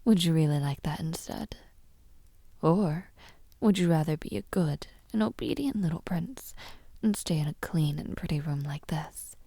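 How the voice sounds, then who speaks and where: A woman playing a haughty young villainess princess in an audio roleplay, mockingly sweet and menacing, teasing and condescending in a breathy near-whisper. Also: deep voice, no room sound, clean studio sound. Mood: condescending, playful. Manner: slow, teasing, condescending, with soft taunts. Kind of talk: audio roleplay addressed to the listener.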